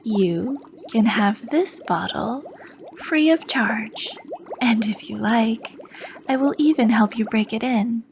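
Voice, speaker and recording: A sly, charming female potion master purrs at an unhurried pace, as a woman acts her out. Her voice sits a little high and soft, and she slips into breathy whispers as she flirts over her brewing. A faint noise hums underneath. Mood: soft flirty. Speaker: shopkeeper. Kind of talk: ASMR roleplay.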